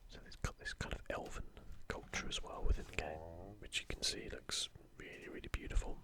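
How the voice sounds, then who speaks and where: A man speaking in a breathy, unvoiced whisper, giving soft ASMR commentary as he hosts a video. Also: fairly quick.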